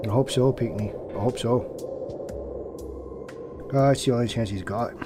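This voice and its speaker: A man's voice: medium pitch, normal speed, natural voice. A man playing a nervous, placating small-town police colleague in an audio drama, speaking in a hesitant stammer. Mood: low, worried. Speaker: voice actor. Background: music.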